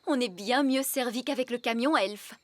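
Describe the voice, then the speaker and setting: A woman voicing an unflappable, no-nonsense older fairy nanny in a children's cartoon, brisk and self-assured. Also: on the high side, background noise, close to an everyday voice. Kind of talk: animated children's cartoon dub.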